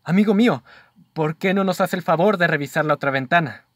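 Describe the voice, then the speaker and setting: A man's voice: on the high side, brisk, dry recording, clean studio sound, lightly acted. A Mexican man acting in a student radio drama as a detective's inquisitive companion, polite and earnest in his questioning. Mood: eager.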